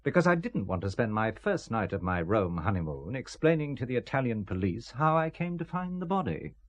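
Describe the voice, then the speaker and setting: A man's voice: medium pitch, big pitch swings, brisk, muffled recording, lightly acted. An actor playing a dry, stubborn married man turned amateur sleuth in an audio spy drama, with a wry, clipped delivery. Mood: dry, sardonic.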